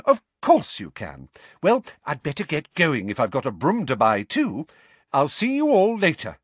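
A man's voice: on the high side, wildly swooping pitch, moderate pace, husky, dry recording, voice pushed up, full character voice. A man voicing a doting, flustered middle-aged mother in an audiobook, breathless and gushing. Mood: kindly.

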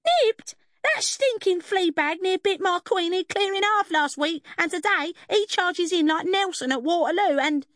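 A woman's voice: very high voice, animated, unhurried, few pauses, a bit bright, dry recording, clean studio sound, voice pushed up, heavily acted. A woman voicing an indignant working-class English fishwife, shrieking and howling in an audiobook story. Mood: shrieking fury.

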